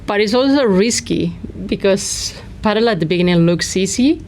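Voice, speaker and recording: A woman's voice: medium pitch, expressive, moderate pace, darkish tone, a little hiss or hum. A woman hosting an interview, friendly and hesitant, with many fillers. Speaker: podcast host.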